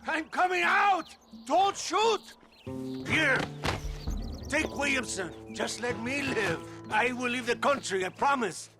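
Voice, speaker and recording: Cowardly, high creaky-voiced outlaw. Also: male voice, expressive, slow pace, heavily acted. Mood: pleading. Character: cowardly, pleading outlaw begging for his life. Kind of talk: video game cutscene acting. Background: music.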